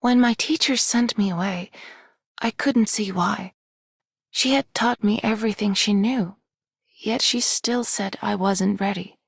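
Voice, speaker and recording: An earnest lighthouse keeper from a fantasy game. She is thoughtful and hushed, with a creaky, breathy voice and slow pace. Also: mid-range voice, no room sound. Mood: reflective. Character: reflective female lighthouse keeper, earnest former pupil. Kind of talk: scripted game voice acting.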